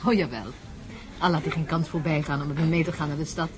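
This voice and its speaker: An actress in a radio play voicing an astronaut's wife, a doctor who talks chattily and anxiously. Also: female voice, low-pitched, fairly even intonation, fast talker, close to an everyday voice. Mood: chatty, friendly. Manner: chatty, turning anxious and urgent. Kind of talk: radio drama acting. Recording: noisy ambience behind voice.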